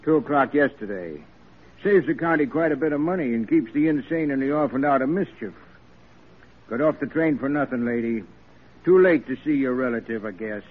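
A man's voice: mid-range voice, expressive, brisk, slight background noise, natural voice. An actor playing a folksy, matter-of-fact small-town man in a 1940s radio drama, offhand and a little hesitant. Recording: muffled vintage radio broadcast recording.

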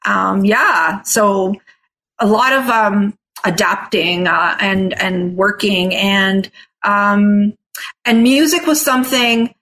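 A middle-aged woman musician who grew up in Toronto with Italian as her first language, answering interview questions in a halting, searching way with many restarts. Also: medium pitch, a bit bright. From Canada, raised in Toronto. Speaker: singer and bassist, interview guest. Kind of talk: casual interview talk.

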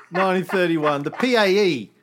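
A man's voice: sits high, animated, normal speed, a bit bright. A man hosting a history podcast in casual chat, swearing freely and drifting off on tangents before he gets back to the story. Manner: profane, wanders off on tangents. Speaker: podcast host narrating history.

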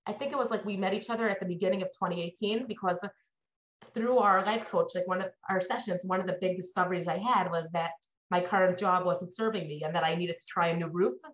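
A woman hosting a podcast, chatty and excitable with her guest. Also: fairly low pitch, fast, a little hiss or hum, rough recording. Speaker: podcast host. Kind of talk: casual interview chat.

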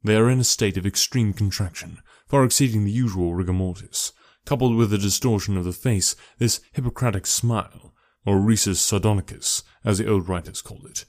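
Man acting a keen male detective, fairly low voice, quiet, dry-humoured, precise, instructive, storybook reading, very little room sound. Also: a little fast, few pauses, no echo, close to an everyday voice.

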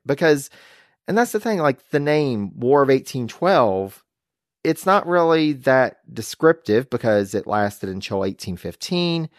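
A man's voice: medium pitch, no echo. A man hosting a history podcast in casual chat, upbeat and laughing often, on a close microphone. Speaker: podcast host.

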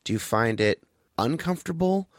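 A man's voice: mid-range voice, animated, dry recording, clean studio sound. A man hosting a podcast, talking earnestly and carefully as he introduces the episode and interviews a guest. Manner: earnest, measured, explanatory. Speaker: podcast host.

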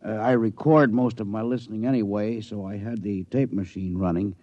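A man's voice: fairly low pitch, steady tone, a little fast, no room sound, natural voice. An actor playing a mild, self-conscious male amateur radio hobbyist giving plain-spoken testimony in a 1950s radio drama. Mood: hesitant, earnest. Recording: dull, dry old radio recording.

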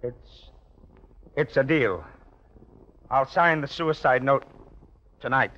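A man's voice: medium pitch, expressive, takes it slowly, pushed and bright. Anxious, cornered businessman, tense voice. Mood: shaken. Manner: hesitant, stammering. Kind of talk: 1940s radio drama acting. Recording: muffled old radio recording.

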